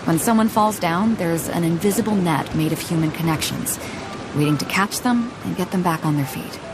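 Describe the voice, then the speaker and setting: A woman's voice: on the low side, moderate pace, lightly acted, rough recording. An actress voicing a confident, laid-back female ship captain in a game cutscene, laughing easily. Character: bold, hearty female ship captain, easygoing. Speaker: game character. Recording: background game music under the voice.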